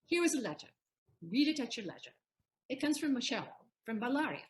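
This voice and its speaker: A woman playing a proud, sharp-witted wife in a Shakespearean audio play, teasingly indignant in declamatory verse. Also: fairly high pitch, sing-song, brisk. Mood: composed, revealing.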